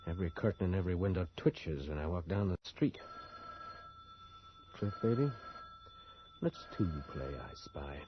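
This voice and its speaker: An actor playing a cynical, wry reporter with a husky voice and a sardonic manner in a radio drama. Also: male voice, low-pitched, lively intonation, moderate pace, pauses often, noisy background. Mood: wry, suspicious. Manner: sardonic, muttering to himself. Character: cynical, wry male reporter, an outsider in town. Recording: muffled early 1980s radio broadcast.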